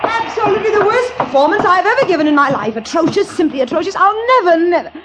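A glamorous, high-strung young actress with a high voice that leaps all over the place. She is theatrical and dramatic, in the manner of a 1940s radio drama. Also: lively intonation, fast talker, loud music behind the voice. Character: dazzling, high-strung young female stage star. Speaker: stage actress.

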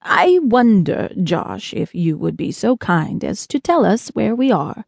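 A woman voicing a kindly, proud man from space in an audiobook, with slow, formal, polite speech. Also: medium pitch, big pitch swings, vocal fry, a little mellow, husky, dry recording, heavily acted.

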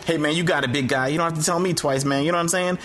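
An actor playing a laid-back, excitable first man in a comic audiobook Bible drama, with a hip, casual, exclamatory way of talking. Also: on the high side, racing, natural voice. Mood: eager, casual. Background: music.

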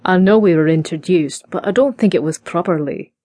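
A woman voicing an intense, serious Scottish bodyguard in an audiobook, speaking slowly in a deep, hushed voice. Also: dry recording, clean studio sound, lightly acted. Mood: serious, intimate. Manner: slow, deliberate.

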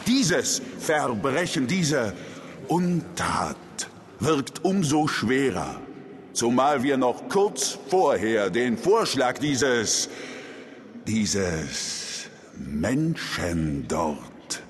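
An actor playing an indignant, accusing council elder in a dark fantasy audio drama, speaking in pompous, deliberate oratory. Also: male voice, medium pitch, very animated. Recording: reverberant hall, faint music.

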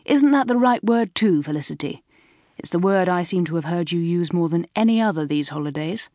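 A woman's voice: fairly low pitch, animated, fairly quick, mellow, no room sound, clean studio sound, lightly acted. Woman voicing a jovial teasing father.

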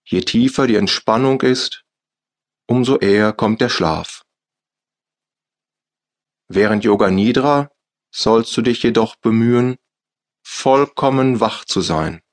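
A man with a German accent guiding a yoga nidra relaxation in slow, soft, calm instructions with long pauses. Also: mid-range voice. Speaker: meditation guide. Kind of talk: guided yoga nidra meditation.

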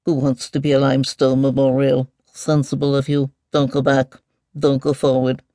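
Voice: medium pitch, completely flat delivery, normal speed, mellow, dry recording, clean studio sound, lightly acted. A storyteller of unclear sex voicing a grumpy, fussy, near-sighted giant mole that mumbles and repeats itself in a pompous, liquid mutter. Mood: annoyed, pompous. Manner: repetitive, indignant muttering to itself.

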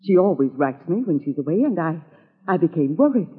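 A bitter, suspicious spinster in a muffled, narrow-band old radio drama. Her husky voice swings widely in pitch as she speaks in dramatic, halting phrases. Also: lively intonation, in a large hall. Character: suspicious, bitter spinster convinced her sister was murdered. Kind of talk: 1940s radio drama acting.

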